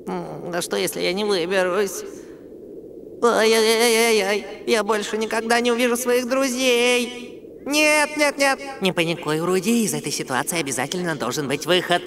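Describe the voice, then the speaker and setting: A man's voice: high voice, normal speed, a bit bright. A man voicing a small, dramatic, bookish monkey in a dubbed children's cartoon, theatrical and exclamatory. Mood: pleading, agitated. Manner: exclamatory, theatrical, self-talking. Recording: music under the voice.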